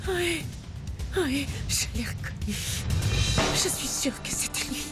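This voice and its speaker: A woman's voice: high voice, lively intonation, takes it slowly, over loud music. An actress playing a frantic young mother who sobs and pleads after her daughter is taken. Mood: tearful telling. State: sobbing. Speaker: distraught mother. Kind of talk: dubbed drama acting.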